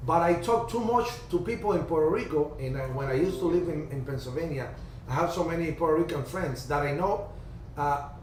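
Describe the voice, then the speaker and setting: A man, hosting a casual ham radio video chat and telling stories in a chatty way, with halting restarts. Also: fairly high pitch. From Cuba. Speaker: ham radio livestream host. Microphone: video call. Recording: distant roomy video-call audio, faint hiss.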